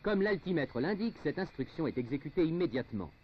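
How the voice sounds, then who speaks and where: A man's voice: fairly high pitch, sing-song, fast. A man reading scripted narration for a 1970s informational film in a formal, emphatic, carefully articulated way. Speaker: documentary narrator. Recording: dull, noisy 1970s film soundtrack.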